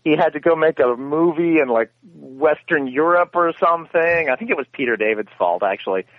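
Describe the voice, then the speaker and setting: A man's voice: on the high side, a little fast, no room sound. Rambling man on a tinny phone line. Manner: rambling, self-correcting storyteller. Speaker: guest, radio theater founder. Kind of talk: conversational interview answers.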